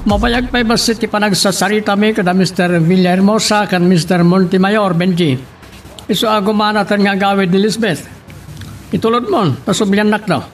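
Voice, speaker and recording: A man's voice: sits high, steady tone, moderate pace, soft background music, natural voice. A man with a Filipino accent playing a secretive, commanding boss in a radio drama. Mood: scheming, commanding. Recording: vehicle and street noise behind.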